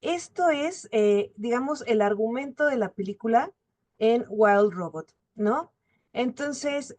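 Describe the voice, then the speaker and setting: A woman's voice: fairly high pitch, darkish tone. A woman speaking as an academic guest, explaining her analysis in a semi-formal lecture style with small tag questions. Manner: explanatory, often checks with "¿no?".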